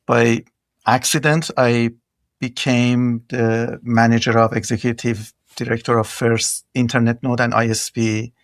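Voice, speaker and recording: A man's voice: mid-range voice, little pitch movement, measured pace, no room sound. A middle-aged man from Iran with an Iranian accent, telling stories conversationally as an interview guest. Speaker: podcast guest, tech entrepreneur.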